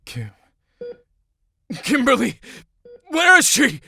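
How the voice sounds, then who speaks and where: An actor playing an anxious, easily startled teenage hero in an audio drama. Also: male voice, very high-pitched, wildly swooping pitch, takes it slowly, creaky, bright, forceful tone, breathy edge, no room sound, full character voice. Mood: alarmed.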